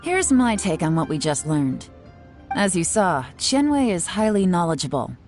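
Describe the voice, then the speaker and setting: Cool low-voiced investigator. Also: female voice, sing-song, darkish tone, soft background music. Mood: appraising. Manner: measured, composed, analytical. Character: cool, shrewd, self-assured female investigator. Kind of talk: video game voice acting.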